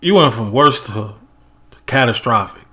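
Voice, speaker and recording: A man hosting a reaction video, talking in animated, slang-heavy remarks. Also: mid-range voice, normal speed. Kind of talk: casual reaction commentary.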